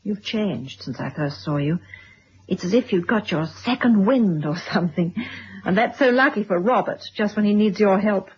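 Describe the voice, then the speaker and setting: A woman's voice: mid-range voice, expressive, moderate pace, husky. An actress playing a frank, sympathetic woman confiding in a friend in a radio drama. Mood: frank, sincere. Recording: dull old radio recording.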